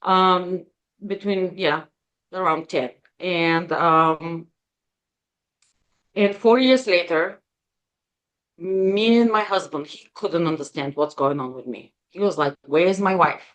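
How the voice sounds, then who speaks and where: A woman in her forties with a Bulgarian accent, a guest telling her story in an interview, hesitant with many pauses. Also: fairly low pitch, a bit bright. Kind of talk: interview conversation.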